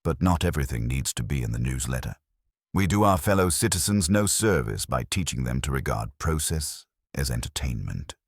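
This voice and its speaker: Evasive chairman read by a male narrator: very low voice, formal, placating, mystery story. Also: very animated, moderate pace, husky, no echo, clean studio sound, exaggerated voice. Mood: gently evasive.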